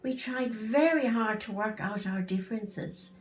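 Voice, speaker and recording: A woman voicing a gentle, concerned mother in a storybook, soft, careful and soothing. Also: a little high, unhurried, far from the mic, soft background music, lightly acted. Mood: gentle, sad. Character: gentle, concerned mother consoling her child. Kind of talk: storybook reading.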